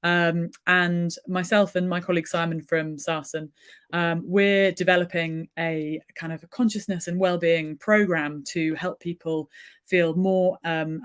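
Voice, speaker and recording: Gentle woman hosting a wellbeing session. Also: fairly low pitch. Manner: gentle, with many ums and restarts. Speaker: workshop facilitator.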